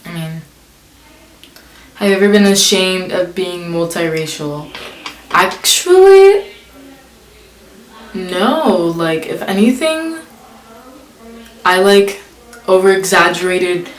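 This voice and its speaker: A woman's voice: on the low side, animated, slow pace, pauses often, a little hiss or hum. A young woman vlogger casually answering tag questions, reading each question aloud and filling her talk with "like". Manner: chatty, many "like" fillers, reads questions aloud. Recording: echoey room.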